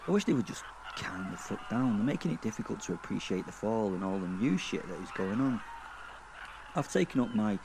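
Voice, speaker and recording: A crude, sardonic werewolf played by a man narrates a dark fantasy tale in a low voice. He is wry and sweary, pausing as he thinks. There is faint noise behind the voice. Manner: sweary, deadpan, wry, halting. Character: crude, sardonic male werewolf narrating his own afterlife. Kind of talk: first-person dark fantasy audio drama narration.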